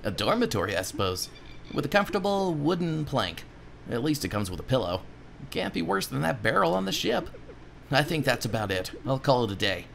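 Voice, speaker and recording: A man plays a plucky young cartoon game hero who declares things with boastful theatrical flair. The pitch leaps about freely, with a gravelly creak and faint noise behind. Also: big pitch swings, fairly quick, fairly bright tone. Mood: wry, resigned. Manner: theatrical, self-satisfied declarations. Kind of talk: game dialogue read aloud as a character.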